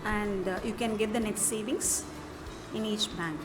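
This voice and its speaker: A woman presents a software walkthrough in an instructional manner, with halting phrasing. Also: mid-range voice, steady tone. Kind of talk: step-by-step app walkthrough narration. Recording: music behind the voice. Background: clearly audible music.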